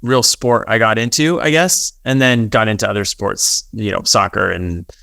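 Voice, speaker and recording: Clear, smooth male podcast host. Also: fairly low pitch, moderate pace, bright, forceful tone, dry recording, studio quality. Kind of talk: casual interview.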